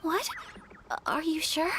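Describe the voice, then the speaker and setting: A woman playing a gentle, shy young noblewoman in a video game, courteous and earnest. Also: extremely high pitch, fairly even intonation, slow pace, music in the background. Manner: courteous, earnest, slightly hesitant.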